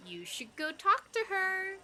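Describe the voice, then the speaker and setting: Teasing young barista girl, high super bright voice, pushy and playful, audio drama. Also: a little slow, exaggerated voice. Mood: excited, pushy. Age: young adult. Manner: teasing, nagging, expressive.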